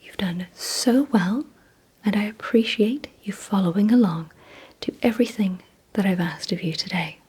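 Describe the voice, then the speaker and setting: Female ASMR host, vampire roleplay: breathy whisper, mellow tone, deliberate and gently instructing delivery. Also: medium pitch, poor audio. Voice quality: breathy, mostly unvoiced whisper.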